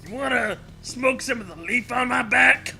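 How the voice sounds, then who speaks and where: A man's voice: very high-pitched, moderate pace, gravelly, pushed and bright, soft background music. A man co-hosting with casual, crude banter and silly joking interjections. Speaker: co-host.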